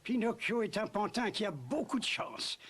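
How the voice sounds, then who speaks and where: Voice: medium pitch, animated, moderate pace, a bit bright, a little hiss or hum, close to an everyday voice. An actor voicing a doting, anxious old carpenter father in a children's cartoon, fretful and full of exclamations. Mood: fond, earnest. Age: older. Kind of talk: dubbed children's cartoon acting.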